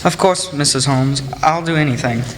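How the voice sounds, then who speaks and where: A man's voice: a little high, moderate pace, lightly acted. A man playing an earnest, proud and devoted young bridegroom in a radio play. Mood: dutiful. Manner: earnest, polite. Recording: reverberant hall, faint noise.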